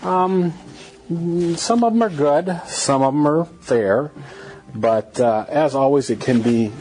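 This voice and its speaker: A man's voice: medium pitch, takes it slowly, darkish tone, low-quality recording. A man hosting a podcast solo, talking casually in a hesitant, loosely improvised way. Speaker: podcast host.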